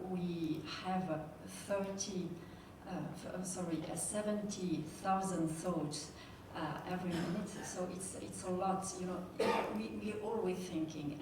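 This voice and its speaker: Woman audience member, lowish voice, reflective, heard from afar in a reverberant room. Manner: earnest, reflective, some hesitation. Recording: echoey hall, distant mic, background noise.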